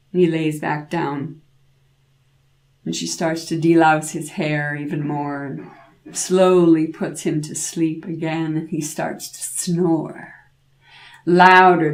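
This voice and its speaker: A woman telling a folk tale aloud in an informal, expressive way, with dramatic pauses and repetition. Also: lowish voice. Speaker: storyteller, podcast host.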